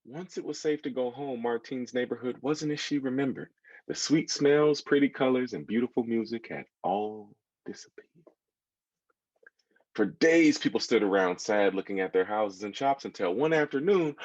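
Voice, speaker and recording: An American man in his thirties reading a children's book aloud, expressively, pausing to put questions to his young listeners. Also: mid-range voice, no echo, dull audio. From United States. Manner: expressive, engaging listeners with asides. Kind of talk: animated storytelling to children, with questions.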